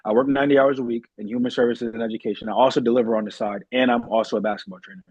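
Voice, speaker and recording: Young male guest, husky mid-range voice, earnest and polite, casual interview delivery, dull close-up recording. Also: medium pitch, steady tone, rapid-fire, a little mellow, dry recording. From Massachusetts, United States. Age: young adult.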